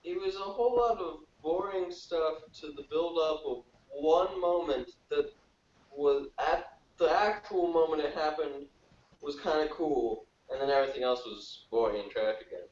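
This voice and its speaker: A man's voice: fairly high pitch, normal speed, darkish tone. A man chatting casually and bluntly as a panelist in a review discussion. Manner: blunt, colloquial. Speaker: podcast panelist. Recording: roomy, distant, dull call audio.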